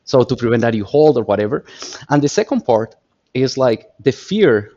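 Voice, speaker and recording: Man, colombian accent, spanish native, animated storyteller, repeats words, slightly dull audio. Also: mid-range voice, normal speed. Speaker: guest. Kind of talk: casual interview talk.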